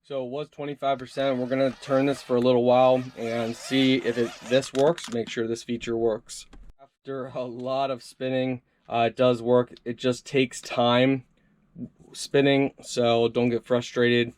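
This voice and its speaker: A man's voice: mid-range voice, little pitch movement, a little slow, a little mellow, a little hiss or hum. Upbeat man casually reviewing a gadget. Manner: upbeat, chatty, talks to viewers as "guys". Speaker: product reviewer. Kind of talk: casual hands-on product review.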